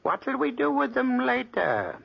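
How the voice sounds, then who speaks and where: An actor playing a furtive, greedy accomplice in murder, creaky and slow, in a 1940s radio drama. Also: male voice, extremely high pitch, huge pitch swings, full character voice. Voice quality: creaky, raspy. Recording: muffled vintage 1940s radio recording.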